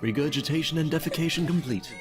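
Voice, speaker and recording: A man's voice: on the high side, fast talker, natural voice. A man voicing a cold, detached mad scientist who pompously dictates cruel experiment notes in a fan-made audio drama. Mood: clinical, detached. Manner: clinical, detached, pompous, menacingly polite. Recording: distant voice with music behind.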